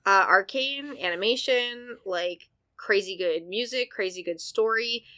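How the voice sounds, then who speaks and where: A woman co-hosting a podcast, chatting casually with friends over a call, animated and quick with repeated words. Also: medium pitch. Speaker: podcast co-host. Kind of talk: casual chat among friends.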